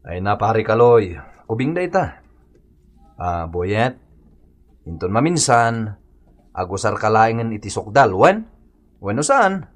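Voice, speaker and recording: An actor playing a forgiving village uncle in a radio comedy-drama, expressive and easygoing, with an Ilocano accent. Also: male voice, fairly low pitch, measured pace, long pauses. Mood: forgiving, amused. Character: reformed hot-tempered village man, now forgiving uncle.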